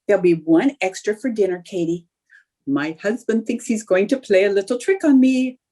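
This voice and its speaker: Theatrical, mellow radio housewife woman acting. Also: mid-range voice, moderate pace, close to an everyday voice. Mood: scheming. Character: scheming, melodramatic young housewife in a radio sitcom. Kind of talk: old-time radio comedy acting.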